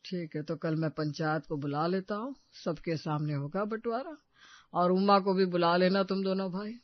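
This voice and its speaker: Man acting elderly, high-pitched family patriarch. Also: fairly even intonation, moderate pace, no echo, dull audio, natural voice. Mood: matter-of-fact. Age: older. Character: elderly family patriarch, caring, practical father-in-law. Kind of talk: Hindi audio story acting.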